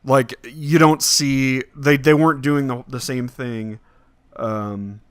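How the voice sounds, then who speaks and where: A man chatting casually as a podcast host about entertainment, talking in a halting way with repeated phrases. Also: mid-range voice, fairly even intonation, smooth, dry recording. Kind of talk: casual movie discussion podcast.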